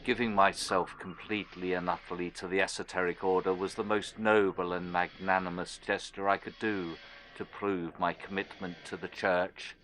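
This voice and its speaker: An actor playing an immortal former sea captain turned cold cultist in a horror audio drama, speaking in a brooding, deliberate monologue. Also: male voice, deep voice, a little hiss or hum. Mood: proud, devout. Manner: brooding, deliberate, trailing into quiet phrases.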